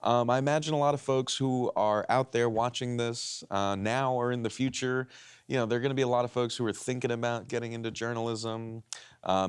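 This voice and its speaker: A man's voice: medium pitch, smooth. A man moderating a live panel discussion in front of an audience, enthusiastic and a bit rambling. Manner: enthusiastic, rambling, hypes the crowd. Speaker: panel moderator and editor-in-chief. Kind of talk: live panel event hosting. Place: studio event space with audience.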